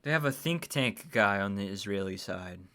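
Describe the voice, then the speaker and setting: A man giving casual, opinionated livestream commentary as he reacts to a video, blunt and quick to swear. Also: fairly low pitch, animated, over music.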